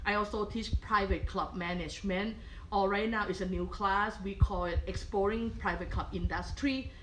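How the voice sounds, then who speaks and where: A woman's voice: medium pitch, moderate pace, slight background noise, poor audio. A middle-aged woman from Thailand with a Thai accent, a culinary professor leading a live cooking demonstration and checking in with listeners often. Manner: patient, checks in with frequent "okay?". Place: kitchen.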